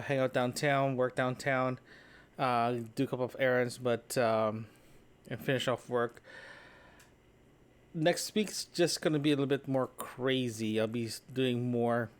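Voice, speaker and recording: A man records a casual solo podcast diary in a mid-pitched voice. He speaks conversationally and loosely, wandering from thought to thought and often tagging his points with a small question. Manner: conversational, meandering, often checking with "right?". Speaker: solo podcast host and vlogger. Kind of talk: casual, rambling spoken audio diary.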